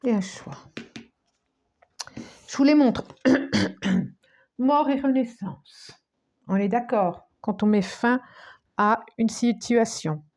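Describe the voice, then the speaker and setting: A woman doing a tarot reading, talking very slowly with a mellow, darkish tone. She has a cold, so her voice is a little stuffy, and her pitch sits somewhat high for a woman. She often repeats herself and trails off into near-whispers. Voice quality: slow, searching, many restarts and repetitions. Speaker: tarot card reader.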